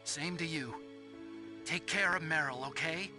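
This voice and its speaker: An actor playing a nerdy, earnest young tech ally in a video game drama, speaking with open feeling and quiet bravery. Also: male voice, on the high side, measured pace, natural voice. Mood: earnest, resigned. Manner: earnest, emotional delivery. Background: game music.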